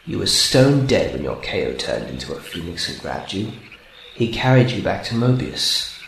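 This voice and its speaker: Radio-drama villain: taunting clawed robot, acted by a man, animated and needling, recorded in a roomy space with faint noise. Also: low-pitched, normal speed, creaky, exaggerated voice. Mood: scornful storytelling. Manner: gloating, mock-sweet needling. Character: sadistic, taunting male robot hunter with claws.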